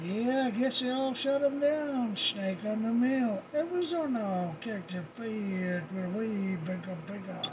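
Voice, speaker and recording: A man works a CB radio channel as its host, putting out calls in short, folksy bursts of operator slang. Clear static and noise sit around his voice. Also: high-pitched, measured pace, few pauses. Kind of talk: CB radio chat with trucker slang. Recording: noisy radio static and ambience.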